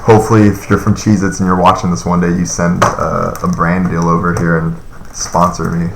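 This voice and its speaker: A man's voice: low-pitched, little pitch movement, fairly quick, creaky, reverberant, noisy background. A man in his thirties chatting casually on a live stream, reading and answering viewer comments in a loose, rambling way with lots of fillers. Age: 30s.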